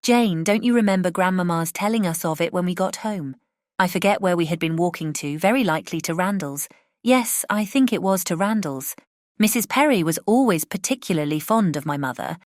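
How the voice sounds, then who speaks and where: Rambling, chatty British spinster aunt played by an actress. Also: female voice, fairly low pitch, expressive, fairly quick, dry recording, clean studio sound, lightly acted. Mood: chatty, flustered. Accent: British English. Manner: rambling, effusive, self-interrupting. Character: chatty, scatterbrained, good-natured middle-aged spinster aunt. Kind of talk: dramatized classic novel audiobook.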